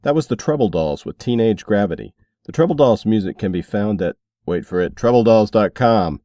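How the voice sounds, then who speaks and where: A man's voice: lowish voice, expressive, a little fast, mellow, no echo. A man hosting a podcast in a casual, informal way, with playful asides as he promotes books and music. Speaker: podcast host.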